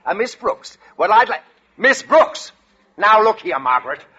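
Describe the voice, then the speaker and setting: An actor playing a pompous, stern and suspicious school principal in a formal, blustering 1940s radio comedy style. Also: male voice, high voice, sing-song, a little slow, bright, forceful tone, breathy edge, full character voice. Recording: muffled vintage 1940s radio broadcast.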